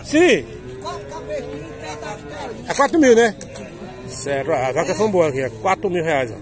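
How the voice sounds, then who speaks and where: Man, high voice, cheerful vlogger walking a busy outdoor cattle market, crowd behind. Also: big pitch swings, a little slow, over music. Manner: upbeat, chatty, asks prices and praises the cows. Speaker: vlogger touring a cattle fair. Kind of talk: casual walk-and-talk interviews. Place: outdoor cattle fair among pens. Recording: outdoor phone recording with crowd around.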